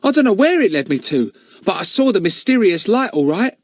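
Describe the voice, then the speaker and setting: A man's voice: sits high, big pitch swings, a little fast, no room sound, voice pushed up, heavily acted. A man reading aloud and voicing a sulky, stubborn boy who protests indignantly. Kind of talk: audiobook character voice.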